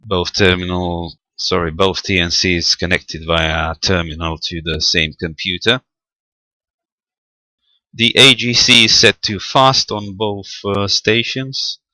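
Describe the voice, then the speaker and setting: Man, very low voice with a sharp bright edge, halting matter-of-fact delivery, radio hobby demonstrator. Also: no room sound. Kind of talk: unscripted hands-on technical tutorial.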